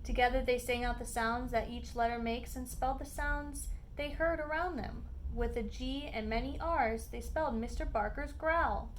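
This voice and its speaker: A woman reading a children's picture book aloud in an expressive, deliberate narrating style. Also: a little high, a little hiss or hum. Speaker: storybook reader.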